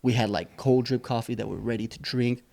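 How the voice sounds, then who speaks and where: A young man from Saipan talks through his story in a relaxed, rambling way, with a gravelly edge in his voice. His speech is mid-pitched and full of fillers and restarts. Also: fairly quick. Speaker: entrepreneur guest. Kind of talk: casual interview storytelling.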